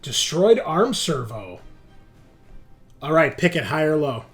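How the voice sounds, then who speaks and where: A man's voice: fairly high pitch, sing-song, slow pace, soft background music. A man talking casually as game master, explaining rules and narrating the action of a tabletop game.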